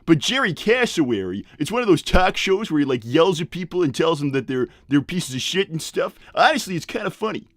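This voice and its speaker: A man's voice: fairly high pitch, big pitch swings, fairly quick, few pauses, no echo, studio quality. A man voicing a glib, tactless cartoon animal boss who rambles breezily through improvised live roleplay. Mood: glib, rambling. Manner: rambling, blunt, breezy, many digressions.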